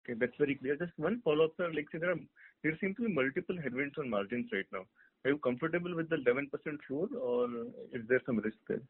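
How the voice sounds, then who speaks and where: A man's voice: on the high side, expressive, quick pace, breathy edge. A man asking follow-up questions as an analyst on an earnings call. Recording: narrow phone-line sound.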